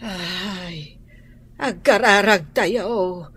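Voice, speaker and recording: A woman's voice: mid-range voice, very slow, fairly bright tone, slightly rough, exaggerated voice. A woman playing an anxious, prayerful elderly grandmother in an Ilocano radio drama, sighing and drawing out her words. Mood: worried. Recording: noisy radio drama recording.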